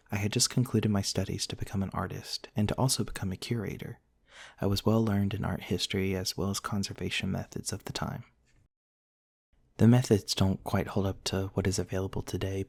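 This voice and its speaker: A man playing a gentle, eccentric vampire prince from the nineteenth century, softly telling his story in an intimate, genteel ASMR roleplay monologue. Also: deep voice, gravelly, husky, heavily acted. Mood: wistful, reflective. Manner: soft-spoken, wistful, genteel storytelling with pauses.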